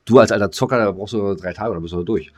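A man's voice: on the low side, fast, a little mellow, slight background noise. A man co-hosting a podcast in casual, slangy banter, rambling along and joking as he talks. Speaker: podcast co-host.

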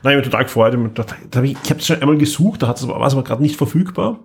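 A man with a mid-pitched, husky voice hosts a podcast. He chats casually and reviews with emphatic enthusiasm. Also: lively intonation, quick pace. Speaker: podcast host. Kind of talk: casual chat reviewing audio dramas.

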